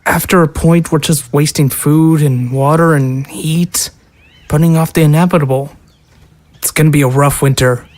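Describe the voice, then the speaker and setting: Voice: medium pitch, fairly even intonation, normal speed, a little mellow, natural voice. An actor voicing a hardened, blunt recruiter for a survivor militia, matter-of-fact and persuasive. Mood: grim. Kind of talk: amateur audio drama acting.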